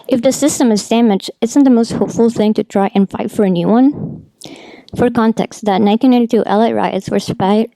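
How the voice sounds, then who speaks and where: A woman with a fairly high voice and a noticeable creak delivers film commentary. She is opinionated and conversational, often wandering off into asides. The sound is dry and close. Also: dark tone, no room sound, poor audio. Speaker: video essayist, film critic. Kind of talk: informal film critique commentary, partly scripted.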